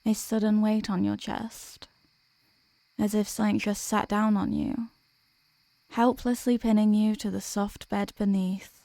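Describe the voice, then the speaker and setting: A woman's voice: medium pitch, flat delivery, a little slow, creaky, mellow, no room sound. A woman playing a teasing sleep paralysis demon who can be menacing or apologetic and tender, in an intimate ASMR audio roleplay. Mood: slow menace. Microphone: close microphone, dry.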